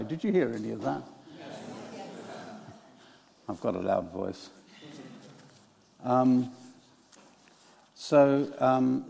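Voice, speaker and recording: A man's voice: mid-range voice, creaky, a little mellow. An older man preaching a conversational, storytelling sermon in a reflective, hesitant way. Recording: echoey church hall with long reverb.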